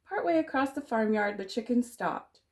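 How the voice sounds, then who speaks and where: A woman teacher reading a children's storybook aloud, dramatic and expressive, drawing out the rhyming words. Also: fairly high pitch, normal speed.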